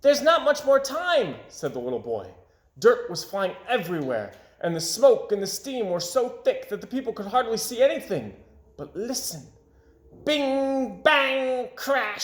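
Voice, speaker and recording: A man's voice: sits high, sing-song, measured pace, fairly bright tone. A man reading a children's story aloud with dramatic delivery, varying volume and tempo for suspense. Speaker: storybook reader. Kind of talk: animated children's read-aloud narration. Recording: roomy home recording.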